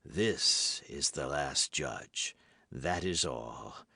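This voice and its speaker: A man voicing a grim, calm executioner who speaks slowly and gravely. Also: extremely low pitch, dry recording, studio quality, pitched below the performer's own voice, heavily acted. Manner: slow, grave, deliberate. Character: grim executioner in red cloak, calm and pious.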